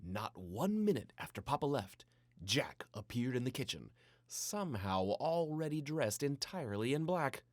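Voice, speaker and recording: A man reading a scripted children's story in a playful, theatrical way with dramatic pauses. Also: medium pitch, sing-song, no room sound. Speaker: storybook narrator.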